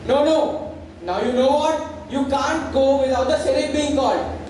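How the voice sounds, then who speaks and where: A stern ironmaster father, acted by a school player, declaiming slowly in a high voice. The voice comes from far off in a very echoey hall with noise around it. It is gruff, dismissive stage acting. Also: darkish tone. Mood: confronting. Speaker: school play actor. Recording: distant echoey hall, clear background noise.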